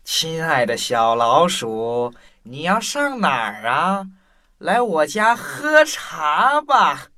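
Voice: mid-range voice, big pitch swings, bright, forceful tone, exaggerated voice. An actor playing a hungry, sly owl in a children's audio drama, with a theatrical, coaxing delivery. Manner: slow, theatrical, exaggerated.

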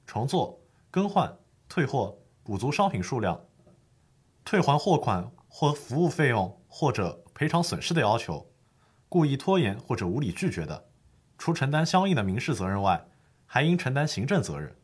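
A man's voice: mid-range voice, sing-song, moderate pace, breathy edge. A man playing a patient lawyer who explains the law formally and methodically in a scripted educational audio drama. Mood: explaining, firm.

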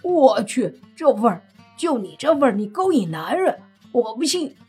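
A woman voicing a crude, hot-headed young man who yells and curses brashly. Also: on the high side, sing-song, moderate pace, breathy edge, soft background music, voice pushed up, heavily acted. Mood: furious mocking. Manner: loud, cursing, brash.

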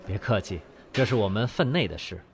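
A man's voice: lowish voice, expressive, brisk, creaky, very noisy. A man playing a courteous, reassuring surgeon in a radio drama.

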